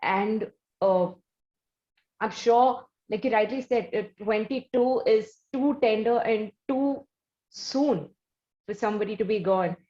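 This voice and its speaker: A woman with an Indian accent hosting a conversational interview, speaking in halting, drawn-out phrases. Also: mid-range voice, warm tone. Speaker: interview host. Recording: muffled, echoey room sound.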